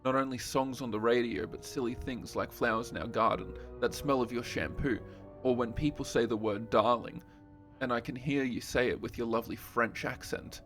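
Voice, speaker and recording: A man voicing a tender, lovesick letter writer with a soft, earnest, intimate way of reading. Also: medium pitch, normal speed, faint music behind, voice pushed down, natural voice. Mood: longing.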